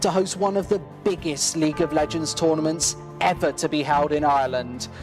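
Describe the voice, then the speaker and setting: A man's voice: on the high side, fairly even intonation, brisk, over music. A man reading a scripted promotional voice-over. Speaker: promo narrator.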